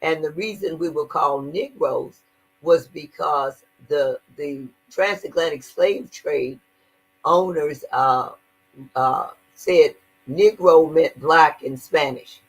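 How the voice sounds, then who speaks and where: A woman's voice: deep voice, slow, breathy edge, poor audio. An older woman speaking earnestly in a conversational interview, stressing her points and often repeating words. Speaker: guest activist.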